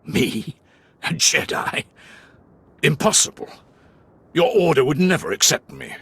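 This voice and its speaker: An actor playing a grave, honor-bound Sith warrior in a video game, with a rough voice and solemn, formal delivery. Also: male voice, very animated, a little slow, pushed and bright, dry recording, heavily acted. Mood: troubled. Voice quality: deep, rough, husky. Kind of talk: video game dialogue acting.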